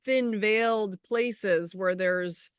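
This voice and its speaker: A middle-aged woman talks casually, wandering through personal anecdotes as she goes. Her voice is smooth and clean, at an ordinary pitch for a woman, and the sound is dry with almost no room in it. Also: very slow, no room sound. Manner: rambling, anecdotal storytelling. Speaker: co-host of a spiritual healing talk show. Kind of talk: casual conversational chat.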